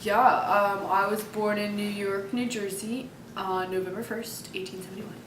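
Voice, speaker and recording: A young woman playing a male novelist who rambles casually and fills his answers with ums. Her voice stays flat and even. It is heard from a distance in an echoey room. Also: medium pitch, normal speed, slight background noise, close to an everyday voice. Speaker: student playing a war novelist. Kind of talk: school project mock talk show.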